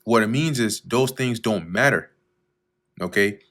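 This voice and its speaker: A man teaching verse by verse through scripture, reading each verse slowly and then explaining it in a casual way with fillers, often clearing his throat. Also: fairly low pitch, a bit bright. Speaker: Bible teacher.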